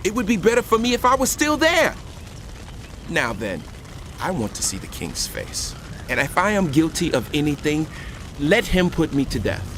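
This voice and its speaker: An actor playing a proud, ambitious young prince in an audio scripture drama, insistent and declamatory. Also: male voice, fairly high pitch, wildly swooping pitch, normal speed, noisy background. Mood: indignant, demanding.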